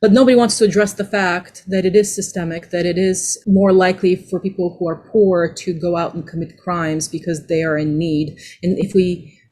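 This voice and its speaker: A woman speaking as a panelist in a casual discussion, animated and laughing between her points. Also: on the low side, moderate pace.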